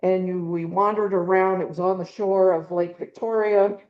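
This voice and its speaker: A woman's voice: fairly low pitch, steady tone, normal speed, highs cut off. A middle-aged woman giving a casual slide presentation to a club, rambling with frequent ums and restarts. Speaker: presenter at a birding club talk. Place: meeting room with a small audience. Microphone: handheld or clip mic with a dying battery.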